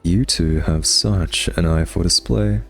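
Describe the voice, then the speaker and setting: A man's voice: deep voice, measured pace, gravelly, faint music behind. A man playing a smug, teasing vampire who drawls taunts at a captive nemesis in an ASMR roleplay monologue. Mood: charming, admiring.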